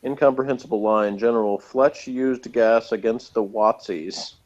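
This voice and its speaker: Man, podcast co-host, mid-pitched, casual, wry joking delivery, slightly dull recording. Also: normal speed, a little mellow. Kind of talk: casual chat, reading out notes and commenting.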